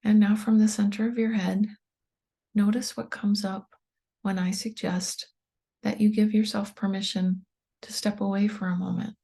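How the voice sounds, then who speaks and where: Soft, breathy woman guiding a meditation. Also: mid-range voice. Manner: calm, soothing, unhurried with pauses. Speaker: meditation guide, tapping coach. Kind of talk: guided meditation.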